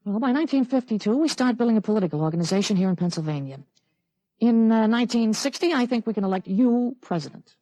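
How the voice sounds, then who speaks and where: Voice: mid-range voice, expressive, quick pace, mellow, no room sound, lightly acted, low-quality recording. A performer in a 1950s radio drama playing a confident, urgent teenage boy who talks like a grown soldier. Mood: confident, persuasive. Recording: dry vintage 1950s radio recording.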